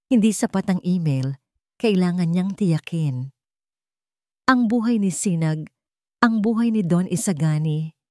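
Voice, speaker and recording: A woman's voice: fairly low pitch, darkish tone, dry recording, clean studio sound. A woman narrating a romantic melodrama as a scripted, dramatic story.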